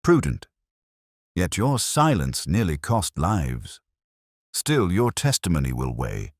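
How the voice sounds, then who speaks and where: A man's voice: low-pitched, huge pitch swings, slow, no room sound, studio quality, pitched above the performer's own voice, exaggerated voice. A man voicing an imperious, shrewd detective in an audiobook, with formal, clipped, commanding pronouncements. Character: imperious, shrewd male detective, coldly judging.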